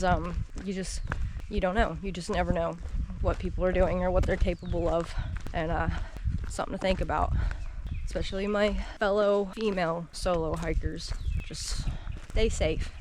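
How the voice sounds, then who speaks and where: A woman in her thirties chatting to her camera on a wooded trail, rambling and laughing often. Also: medium pitch. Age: 30s. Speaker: solo hiker vlogger. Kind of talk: casual walking vlog chat. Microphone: action camera mic carried while walking. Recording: outdoor background noise.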